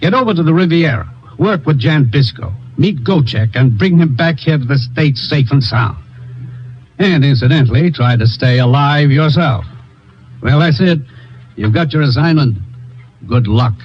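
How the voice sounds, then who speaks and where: An actor playing a brisk, authoritative male commissioner giving orders in a 1950s radio drama. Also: fairly high pitch, animated, lightly acted. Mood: stern. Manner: crisp, businesslike, authoritative. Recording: dull old radio broadcast.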